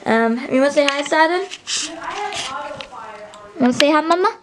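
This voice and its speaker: A young woman chats to her camera from a small bedroom, rambling along with plenty of ums and restarts. Her voice sits a little high, and the handheld camera stays close to her face. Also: lively intonation, moderate pace, noisy background. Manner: rambling, chatty, many fillers and restarts. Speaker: vlogger. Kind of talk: casual vlog chat to camera.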